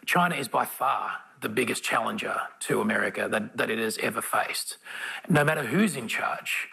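A man moderating a panel conversation, asking measured questions. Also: mid-range voice, creaky, bright, forceful tone, husky, low-quality recording. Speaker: moderator, host.

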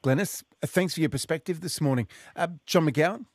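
A male talk radio host, questioning guests and taking calls in a brisk, direct way. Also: on the high side, dry recording, clean studio sound.

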